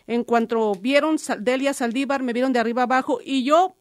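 A woman's voice: sits high, brisk, no room sound, clean studio sound. A woman radio host in conversational storytelling, addressing listeners directly. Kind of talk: radio discussion with personal story.

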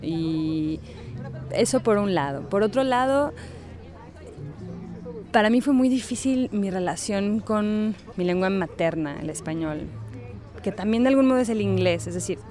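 A woman's voice: medium pitch, lively intonation, measured pace, pauses often, dark tone. A woman writer with a slightly unusual Mexican Spanish accent, answering interview questions thoughtfully and searching for her words.

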